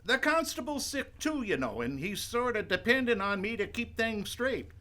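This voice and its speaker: An actor playing an old country doctor in a radio play, folksy and wary, with halting, hemming speech. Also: male voice, very high-pitched, very animated, sharp tone, full character voice. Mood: cautious, folksy.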